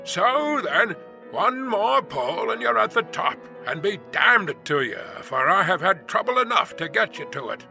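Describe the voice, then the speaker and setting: A man playing a vexed, rough coachman in a storybook reading, exclaiming, cursing and urging on his horses. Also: high voice, big pitch swings, measured pace, bright, forceful tone, faint music behind, voice pushed up, full character voice. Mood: exasperated.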